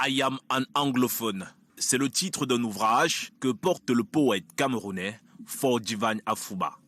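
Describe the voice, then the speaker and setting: A man reporter reading a scripted news voice-over in steady reporter narration. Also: medium pitch, measured pace.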